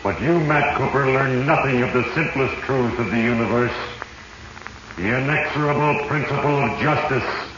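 An actor playing sinister, all-knowing Fate, narrating solemnly and portentously in a 1940s radio drama. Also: male voice, medium pitch, moderate pace, lightly acted. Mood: solemn, ominous. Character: sinister, all-knowing Fate reading his diary of mortals. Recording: echoey, muffled, noisy old radio.

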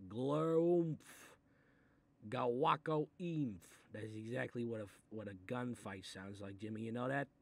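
A man's voice: mid-range voice, unhurried. Guy doing an italian-american mobster boss, grumpy and sarcastic, muttering threats while reading a kids book, comedy bit. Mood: grudging, exasperated. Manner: gruff, threatening, muttering asides, exasperated. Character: short-tempered, sarcastic Italian mob boss babysitting a kid. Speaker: mob boss character reading a picture book aloud. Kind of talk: comic improvised character monologue.

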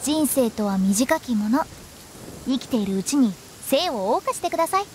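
High pitch, animated swings, cheeky young prankster, theatrical, playful, anime game character. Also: female voice, moderate pace, a little hiss or hum, low-quality recording. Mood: breezy.

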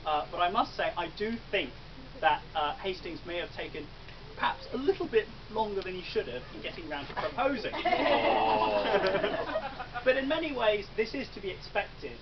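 A man in his thirties with an English accent, giving a best man's toast in a large wedding marquee, with dry jokes and pauses for laughs. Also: sits high, animated, gravelly, heavy background noise. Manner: dry joking delivery with ums and pauses for laughs. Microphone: far camera, no mic. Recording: distant dull camera audio.

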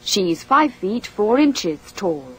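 A woman reading a scripted language course drill, slowly and with careful enunciation. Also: medium pitch, big pitch swings, husky, rough recording. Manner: slow, deliberate, carefully enunciated.

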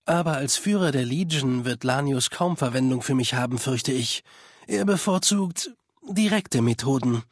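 Video game spymaster, male actor: cold, measured, superior, mid-pitched with a husky edge, dry close sound. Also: lively intonation, dry recording, lightly acted. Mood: cool, assured. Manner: formal, measured, condescending. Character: cold, calculating male spymaster of a slaver legion. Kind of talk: dubbed video game dialogue.

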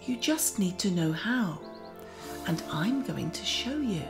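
A woman playing a gentle, kindly magical garden auntie who leads a children's guided meditation in a soothing, often near-whispered voice. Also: medium pitch, big pitch swings, takes it slowly. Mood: tender, wondering. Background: music.

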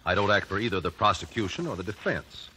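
An actor playing an earnest, composed American investigator, speaking articulately in old-time radio drama style. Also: male voice, low-pitched, lively intonation, fast talker, bright, forceful tone. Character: earnest, measured gentleman investigator, the hero. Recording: noisy vintage radio recording.

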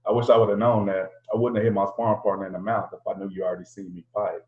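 A man's voice: lowish voice, little pitch movement, fast, natural voice. A man voicing a brash, cocky young male boxer who talks in swaggering slang. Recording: roomy lo-fi home recording.

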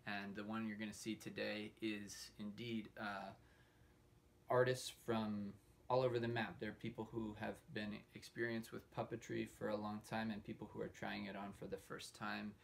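Male host, fry-heavy voice on the low side, halting informal delivery, slightly noisy room recording. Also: little pitch movement. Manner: halting, pausing often mid-sentence. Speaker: host introducing a puppetry showcase. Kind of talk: informal spoken introduction to a livestream. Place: indoor room hung with fabric backdrops.

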